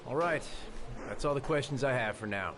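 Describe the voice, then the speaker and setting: An actor playing a businesslike police investigator in a video game drama, probing in a clipped, matter-of-fact way. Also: male voice, mid-range voice, brisk, natural voice. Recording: game music behind dialogue.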